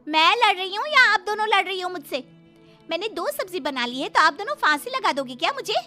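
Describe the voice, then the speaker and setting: A woman's voice: very high-pitched, lively intonation, a little fast, pushed and bright, soft background music, exaggerated voice. A woman playing a defiant, quarrelsome young daughter-in-law in a cartoon family story, argumentative and indignant.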